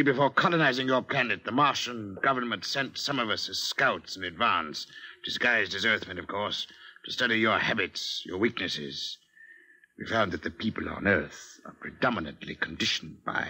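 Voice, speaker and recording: A cold Martian infiltrator in an old radio play. The voice is low and very sharp, with a condescending, menacingly polite manner and formal phrasing. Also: male voice, normal speed, slightly rough, full character voice, rough recording. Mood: smugly explaining. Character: cold, smug Martian invader disguised as an Earthman. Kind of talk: 1950s radio drama acting. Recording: old 1950 radio broadcast.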